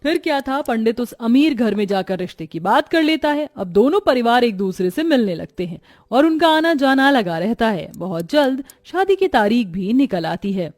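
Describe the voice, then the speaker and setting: A woman's voice: a little high, very animated, moderate pace, darkish tone. A woman reading scripted story narration as the narrator.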